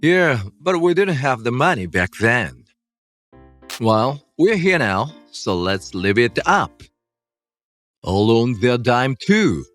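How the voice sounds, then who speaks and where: A man's voice: medium pitch, sing-song, takes it slowly, fairly bright tone. A man playing a stern, blunt middle-aged father in a manga story dub. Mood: smug, relaxed. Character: stern middle-aged father disowning his cheating daughter. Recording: music under the voice.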